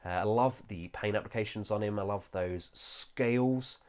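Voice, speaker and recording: A man with a low, deep voice that sounds nasal and stuffed up from a lingering cold. He reviews a collectible figure in a chatty, enthusiastic way, often pausing with "uh". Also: a little mellow. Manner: enthusiastic, chatty, with frequent "uh" fillers. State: recovering from a cold. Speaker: toy reviewer. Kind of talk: casual product review.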